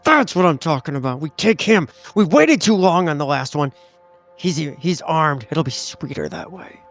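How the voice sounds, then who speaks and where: A man playing a hot-headed, bloodthirsty covert agent in a tabletop horror game, excitable and insistent. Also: on the high side, normal speed, faint music behind. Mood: eager, bloodthirsty.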